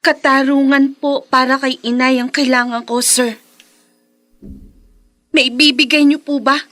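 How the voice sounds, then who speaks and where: A woman acting an earnest, determined young bereaved daughter in a radio drama, speaking with deliberate feeling. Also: high-pitched, level tone, fairly bright tone, soft background music. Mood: bitter, defiant.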